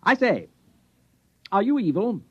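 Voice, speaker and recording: Suspicious alien witch doctor, fussy British gent, wildly swooping pitch, dull vintage radio sound. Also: sing-song, measured pace, breathy edge, full character voice. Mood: prim, inquisitive. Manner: fussy, affected upper-class politeness. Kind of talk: 1950s radio drama acting.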